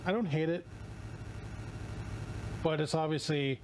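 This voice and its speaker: A hoarse man streaming casually while opening card packs, rambling, swearing and often restarting his sentences. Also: on the high side, steady tone, unhurried, a little mellow, slight background noise, rough recording.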